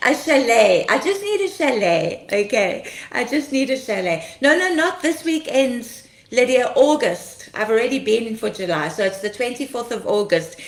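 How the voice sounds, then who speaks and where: A woman, slightly croaky from being ill, hosting a casual ministry livestream, chatting, laughing often and greeting viewers by name. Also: fairly high pitch, very animated, normal speed, few pauses, roomy sound. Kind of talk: casual livestream chat reading comments.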